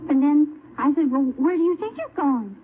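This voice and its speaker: Young female radio contestant from Canada. High pitch, giggly storyteller. Narrow, phone-like, noisy vintage broadcast sound. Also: lively intonation, moderate pace. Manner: giggly, retells with quoted dialogue. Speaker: stunt contestant. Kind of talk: interview answers on old-time radio show.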